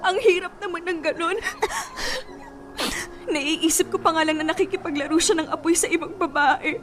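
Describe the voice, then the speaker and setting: A woman's voice: very high voice, fairly even intonation, normal speed. An actress playing a heartbroken young woman whose boyfriend cheats, pleading and tearful, in a radio drama. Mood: crying.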